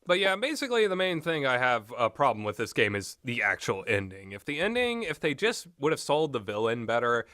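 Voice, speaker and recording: A man's voice: mid-range voice, big pitch swings, fairly quick, few pauses, sharp tone, dry recording, studio quality. A man giving a rambling, unscripted and casual video game review, readily amused as he talks. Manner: rambling, often breaks into amused laughter.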